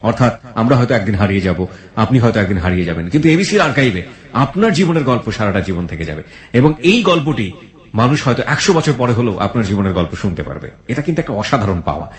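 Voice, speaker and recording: Low-voiced Bangladeshi radio host, echoey man. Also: very animated, fast talker. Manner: mixes English phrases into his talk. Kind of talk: radio presenting to listeners. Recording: echoey, reverberant voice.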